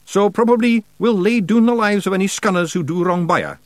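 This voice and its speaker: A man voicing a rascally, proud little clansman with a broad Scottish accent and a blustering, excitable manner. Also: sits high, fairly quick, dry recording, voice pushed up, close to an everyday voice. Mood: cheerfully proud.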